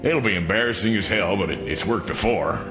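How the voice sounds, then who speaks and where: An actor playing a gruff, impatient, needling police officer in a noir radio drama. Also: male voice, fairly low pitch, expressive, a little fast, natural voice. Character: gruff, needling male police officer, detective's old acquaintance. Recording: roomy reverb, music underneath.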